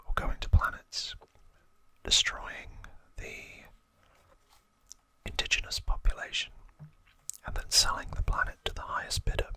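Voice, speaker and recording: A host whispering softly and haltingly close to the microphone, explaining trivia informally in an ASMR style. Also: dry recording. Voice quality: soft unvoiced whisper.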